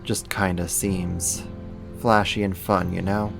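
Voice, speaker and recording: A man's voice: low voice, little pitch movement, slow, gravelly, music in the background, heavily acted. A man acting a casual, lightly teasing roommate who is secretly a supervillain, in a conversational ASMR roleplay. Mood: sheepish, casual. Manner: casual, laid-back, lightly teasing, slangy.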